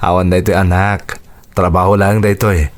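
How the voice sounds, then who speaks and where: A man with an Ilocano accent playing a kindly, upbeat doctor and devoted father in a radio drama, with a warm, encouraging manner. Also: deep voice, level tone, unhurried. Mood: fatherly, affectionate.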